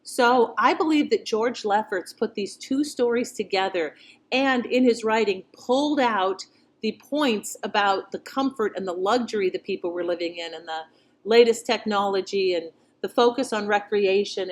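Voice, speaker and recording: A middle-aged woman hosting with casual, conversational and reflective commentary. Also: medium pitch. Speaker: channel host. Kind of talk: casual commentary on old radio shows.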